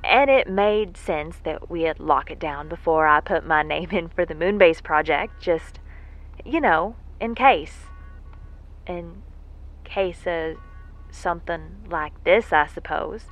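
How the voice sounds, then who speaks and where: A woman's voice: on the low side, takes it slowly, lo-fi sound. A woman playing a folksy, lovesick moonbase worker who rambles with wry self-deprecation in an audio drama monologue. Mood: wistful, rueful. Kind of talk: audio drama monologue, a spoken letter home. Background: faint music.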